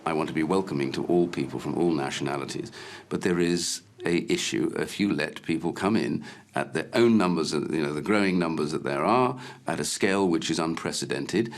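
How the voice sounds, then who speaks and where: Very deep-voiced man stating his view. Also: a little fast, hardly any pauses. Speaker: interviewee. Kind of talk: stating a position.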